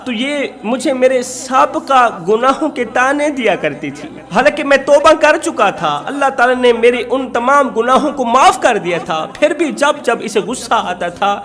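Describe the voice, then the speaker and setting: High-pitched man preaching emotionally. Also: fairly bright tone. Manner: impassioned, exhortatory, emphatic repetition in short rhetorical phrases. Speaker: Islamic preacher. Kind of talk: emotional sermon with moral storytelling.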